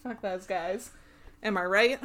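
A woman's voice: medium pitch, takes it slowly, a bit bright, slight background noise. A young American woman co-hosting a casual chat podcast, laughing often and swearing freely. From United States. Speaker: podcast co-host.

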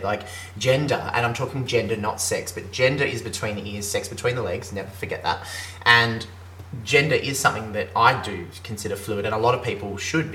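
A man's voice: fairly low pitch, pushed and bright, slight background noise. A man co-hosting a podcast, earnestly explaining his views at length in casual chat. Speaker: podcast co-host. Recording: roomy echo, faint ambience.

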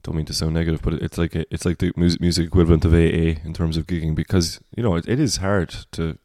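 This is a Cork Irish man with a deep baritone voice that sits very low. His delivery stays level, with little rise and fall. He sounds reflective and wanders through his thoughts in a casual podcast chat, recorded close with a dry sound. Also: steady tone, fast, warm tone, no echo. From Cork, Ireland. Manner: reflective, rambling. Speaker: singer-songwriter guest.

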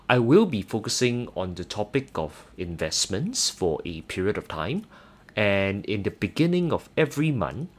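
A young man with a Singaporean accent hosting a solo personal finance podcast, earnest and measured, with occasional hesitant fillers. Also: on the low side. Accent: Singaporean English. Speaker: podcast host.

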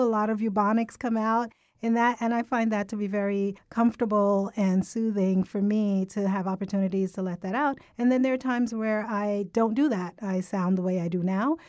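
A woman from Jamaica co-hosting a podcast, chatting in an upbeat, lively, personable way. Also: mid-range voice, no room sound, clean studio sound. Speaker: podcast co-host. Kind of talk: casual podcast conversation.

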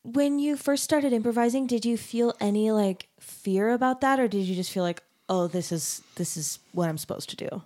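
A woman hosting a casual interview, a musician and teacher, asking questions with many quick encouraging affirmations. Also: fairly high pitch, dark tone. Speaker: podcast host and music teacher.

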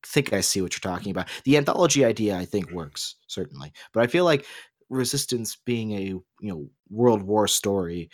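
A man's voice: lowish voice, expressive, moderate pace, no echo, clean studio sound. A man co-hosting a podcast, talking casually but articulately as he argues his opinions with emphatic stress. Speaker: podcast co-host.

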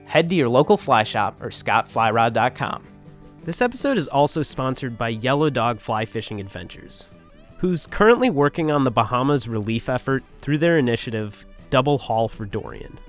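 A man in his twenties, a podcast host, narrating a scripted story in a measured way. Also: medium pitch, animated, soft background music. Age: 20s.

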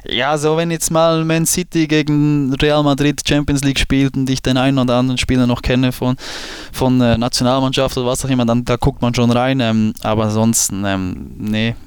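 A man in his late twenties from Switzerland with a Swiss German accent, a guest talking casually in a podcast interview and pausing often with fillers and restarts. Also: mid-range voice, little pitch movement, clear, resonant tone, dry recording. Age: 20s. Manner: hesitant, many fillers and restarts. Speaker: football goalkeeper guest.

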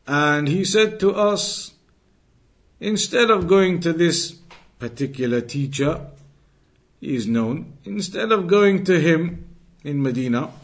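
Male Islamic lecturer, pitch a little above average, emphatic and explanatory, drives points home through repetition. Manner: emphatic, repeats and rephrases key points. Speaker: Islamic teacher. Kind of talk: religious lesson, explanatory lecture.

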